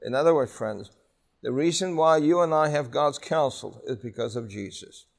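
A man's voice: medium pitch, moderate pace, creaky. A man teaching a Bible class, conversational and emphatic, often asking the students questions. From New York City.

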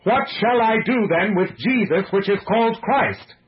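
A man voicing a troubled Roman governor who appeals earnestly and loudly to a crowd in a religious story. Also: high voice, lively intonation, unhurried, highs cut off, pitched above the performer's own voice. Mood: pleading. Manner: earnest, loud public oratory. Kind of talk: dramatized religious story reading.

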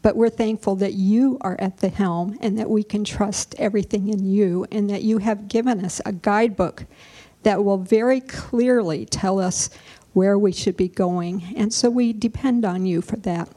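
A woman's voice: mid-range voice, fairly even intonation, normal speed, dark tone. Earnest woman moderating a church panel. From Michigan, USA. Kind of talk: hosting a church panel discussion.

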